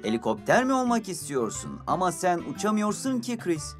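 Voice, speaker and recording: Excitable, swooping cartoon construction vehicle. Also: male voice, high voice, moderate pace, faint music behind. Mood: concerned. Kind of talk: dubbed children's cartoon acting.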